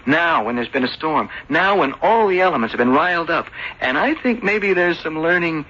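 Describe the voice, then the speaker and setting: An actor playing an earnest, excitable young voyager in an old-time radio drama. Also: male voice, a little high, moderate pace, husky, full character voice. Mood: urgent, eager. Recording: dull, hissy old radio recording.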